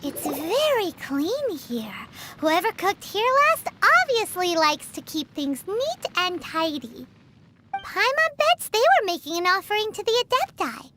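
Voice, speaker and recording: A woman voicing a tiny, curious, cheeky childlike fairy companion in a video game, with a squeaky voice and exclamatory manner. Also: big pitch swings, a little slow, heavy background noise, full character voice. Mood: curious, chatty. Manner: refers to herself in the third person, exclamatory. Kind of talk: video game character dialogue.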